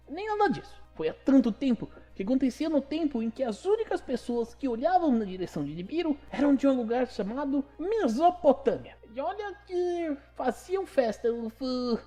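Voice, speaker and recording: High, bubbly little mascot. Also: female voice, dark tone. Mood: playful, explaining. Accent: Brazilian. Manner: bubbly children's presenter with a verbal tic. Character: cute small childlike planetarium mascot, cheerful and chatty. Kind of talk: scripted children's educational show in audio drama.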